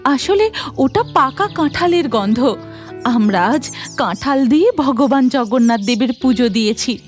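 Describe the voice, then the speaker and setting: A woman's voice: high-pitched, animated, unhurried, soft background music. A woman voicing a devout, humble, hospitable village wife in a Bengali cartoon. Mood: respectful.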